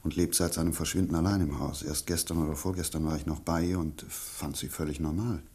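A man acting as a calm, courteous scientific investigator in a German radio mystery drama, speaking in a measured, slightly hesitant way. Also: very deep voice, slightly rough. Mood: grave.

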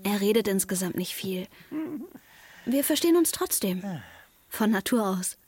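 A woman's voice: medium pitch, lively intonation, measured pace, darkish tone, husky, dry recording. A woman playing a restless, defiant teenage girl in love, confiding and emotionally charged in a German radio drama. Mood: confiding, wistful. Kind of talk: German radio drama, intimate first-person narration and dialogue. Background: music under the voice.

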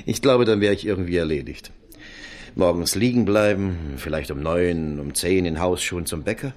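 A man's voice: low-pitched, moderate pace, darkish tone, natural voice. An actor playing a lonely, proper jobless office man in his fifties, formal and hesitant, in a radio crime drama. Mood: wistful, musing. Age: 50s. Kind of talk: 1970s German radio crime drama acting.

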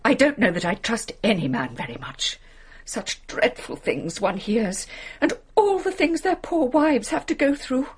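An actress playing a fussy, genteel English lady companion in a radio drama, hesitant, apologetic and gossipy, with a breathy English voice. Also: on the high side, very animated, unhurried. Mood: gossipy, suspicious. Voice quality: breathy, husky. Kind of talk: British radio drama acting.

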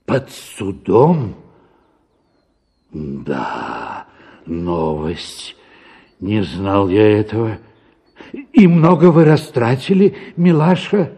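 A man's voice: lowish voice, sing-song, drawn-out words, pauses often, warm tone, slightly rough, full character voice. An actor playing a pushy, cajoling middle-aged father, with exclamatory, theatrical delivery in a radio play. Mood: taken aback, grave. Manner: expansive, cajoling, exclamatory, repeats words. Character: pushy, wheedling father bent on marrying off his daughter. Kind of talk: theatrical radio performance of a classic Russian story.

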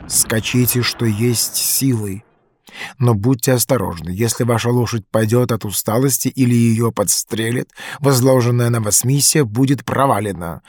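An actor playing a stern, commanding French general in an audio drama, speaking in a curt, deliberate, authoritative manner. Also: male voice, medium pitch, a little mellow, breathy edge, dry recording, clean studio sound, close to an everyday voice. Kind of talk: scripted audio drama acting.

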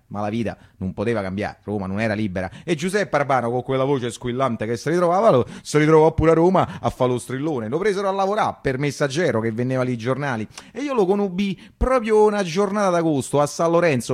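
A male actor plays a Roman street news seller with an earthy, animated way of telling a story. He talks very fast in a medium-pitched voice, looping back on phrases and throwing out rhetorical questions. It has the feel of a theatrical radio monologue. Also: close to an everyday voice. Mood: animated, nostalgic. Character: streetwise Roman newspaper hawker reminiscing about wartime, earthy and animated.

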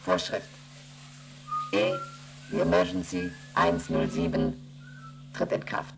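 A man voicing an emotionless onboard computer in a radio play, reading out readings and rules in a flat, mechanical way. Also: deep voice, slow, creaky, over loud music, heavily acted, low-quality recording. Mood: flat reporting. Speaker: ship's computer.

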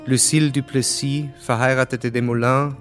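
A man narrating a radio play, reading scripted literary prose in a measured, dramatic way. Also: mid-range voice, steady tone, faint music behind. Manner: measured, literary, present-tense storytelling.

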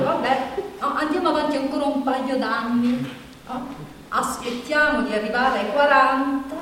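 An actress playing an anxious, bitter woman nearing forty in a halting, repetitive, confessional stage monologue. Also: on the high side, bright, forceful tone, heavily acted. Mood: resigned, searching. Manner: halting, repetitive, insistent, with rhetorical questions. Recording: echoey hall from far off, background noise.

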